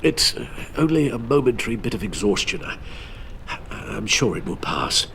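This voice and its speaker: Older manservant for game drama: formal, worried, expressive pitch, slight background noise. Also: male voice, gravelly. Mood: dutiful. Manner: formal, measured, caring. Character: concerned, formal, weary older male butler. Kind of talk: dramatic video game acting.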